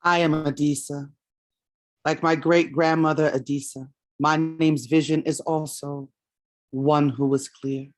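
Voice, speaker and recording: A woman's voice: sits low, dry recording. A woman in a staged play reading, playing an earnest grandmother playwright who addresses her family's ancestors.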